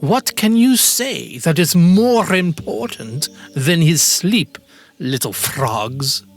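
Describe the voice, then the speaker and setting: A woman voicing a concerned, sensible forest animal in a children's fable, earnest and expressive. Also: on the low side, slow, soft background music, exaggerated voice. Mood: puzzled, protective. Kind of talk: children's storybook character acting.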